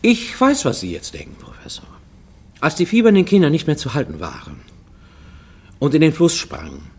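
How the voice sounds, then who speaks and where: An actor playing a haunted man who recounts childhood guilt in a halting, brooding radio-play monologue, at times sinking toward a whisper. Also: lowish voice, husky, heavily acted. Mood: defensive, bitter. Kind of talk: German radio play, first-person confessional monologue.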